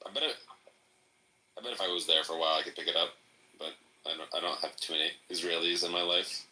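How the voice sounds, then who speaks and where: A man in a casual chat, talking in a rambling, animated way. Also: low voice, fast, low-quality recording. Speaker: podcast participant.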